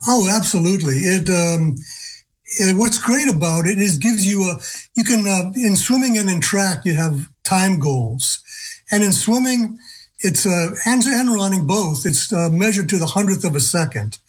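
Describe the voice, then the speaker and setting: A man of seventy-two answering as an interview guest in a conversational, halting way, often pausing as he goes. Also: sits high, expressive, husky, rough recording. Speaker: interview guest, senior games athlete.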